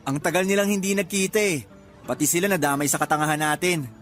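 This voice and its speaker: A male actor playing an upbeat, loyal young suitor in a Filipino radio drama, chummy and animated. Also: fairly high pitch, quick pace, soft background music, close to an everyday voice.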